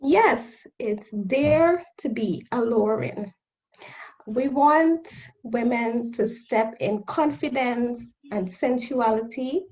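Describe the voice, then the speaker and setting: A woman in her thirties from Guyana with a Guyanese accent, a guest on a radio interview explaining her new business in an earnest, steady way. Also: fairly high pitch, rough recording. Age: 30s. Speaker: guest entrepreneur.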